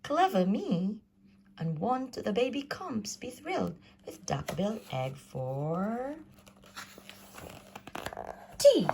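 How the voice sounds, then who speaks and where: A woman voicing a smug, excitable little female dinosaur egg thief from a storybook. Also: mid-range voice, very slow, long pauses, background noise, pitched above the performer's own voice, exaggerated voice. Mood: smug, excited. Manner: self-satisfied, murmuring.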